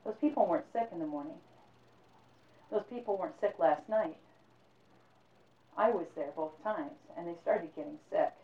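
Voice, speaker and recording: A woman playing a haunted young man, quiet and dazed, fumbling through his worried thoughts. The voice stays low and soft. It sounds thin and phone-like in an echoey space. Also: normal speed, pauses often, lightly acted. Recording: tinny phone-like sound, roomy echo.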